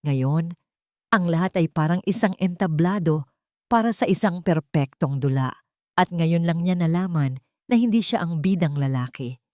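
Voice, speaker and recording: Lowish-voiced woman narrating a drama. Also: lively intonation, darkish tone, no echo, clean studio sound. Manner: measured, dramatic storytelling. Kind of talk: scripted romance story narration.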